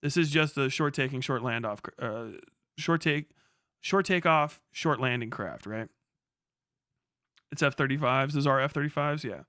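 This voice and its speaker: A man's voice: medium pitch, moderate pace, pushed and bright, clean tone, no echo, clean studio sound. A man giving casual, off-the-cuff livestream commentary as a military veteran, slangy and full of swearing. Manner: profane, slangy.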